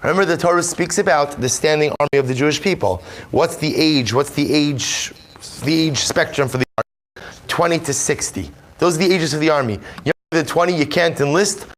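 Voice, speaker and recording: Emphatic rabbi with a fairly high voice. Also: male voice, brisk. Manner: reads Hebrew source text aloud, then explains it in English; emphatic, repeats key phrases. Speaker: rabbi teaching a class. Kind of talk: Torah class lecture.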